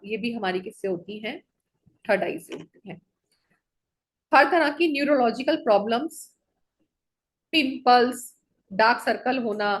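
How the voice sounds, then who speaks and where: A woman's voice: fairly high pitch, low-quality recording. A woman teaching an online color therapy class, listing one item after another and checking in with her students.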